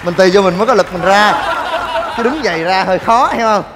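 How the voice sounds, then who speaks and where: A young man hosting a gym vlog, joking in rapid banter and shouting coaching cues. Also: high voice, a loud crowd, very noisy. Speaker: fitness vlogger. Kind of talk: energetic gym demo vlog. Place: large indoor gym. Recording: long gym reverb with music.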